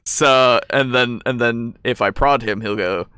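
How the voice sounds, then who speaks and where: A man's voice: mid-range voice, a little slow, bright, forceful tone, clean tone, no room sound, clean studio sound. A man co-hosting a casual discussion about tabletop games, talking hesitantly as he thinks aloud. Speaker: podcast co-host.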